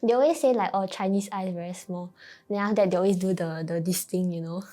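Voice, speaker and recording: A woman's voice: on the low side, quick pace, warm tone. A young Singaporean woman chatting casually in Singlish phrasing as an interviewee. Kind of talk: casual chat.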